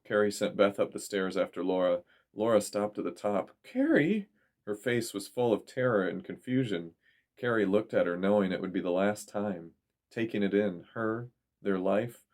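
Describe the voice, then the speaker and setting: A man's voice: on the low side, darkish tone. A man reading a story aloud as a dramatic audiobook narrator.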